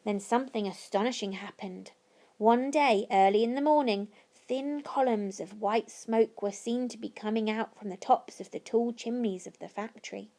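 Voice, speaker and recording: A woman reading a children's story aloud as narrator, with expressive, deliberate phrasing. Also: fairly high pitch. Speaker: story reader.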